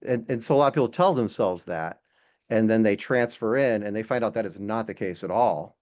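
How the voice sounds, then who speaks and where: Low-voiced man talking haltingly. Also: a little mellow. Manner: hesitant, halting, repeats words. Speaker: podcast guest, air traffic control instructor. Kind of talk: casual interview conversation. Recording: dull, lo-fi sound.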